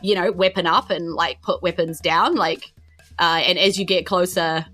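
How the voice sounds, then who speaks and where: A woman's voice: lowish voice, fairly even intonation, normal speed, a bit bright, faint music behind. A woman with a New Zealand accent improvising narration as a tabletop game master in an informal, storytelling way.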